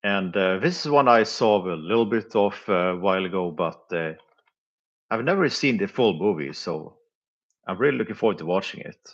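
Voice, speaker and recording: A man's voice: sits low, animated, moderate pace. A man chatting casually on a live stream, hesitant and full of filler sounds, often chuckling between thoughts. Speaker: live streamer reviewing flea market finds. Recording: dull lo-fi sound.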